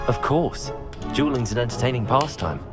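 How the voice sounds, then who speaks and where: Young student protagonist, actor-played: polite, assured, understated game dialogue, mid pitch with lively melody, music underneath. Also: normal speed, natural voice. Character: polite, self-assured young student hero of a magic school. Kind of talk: video game voice acting.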